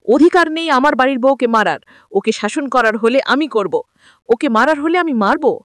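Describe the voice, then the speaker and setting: A stern matriarch of a soap household, read by a woman narrator, firm and authoritative. The voice is high with lively intonation and a dry sound. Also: normal speed, no echo. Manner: firm, commanding.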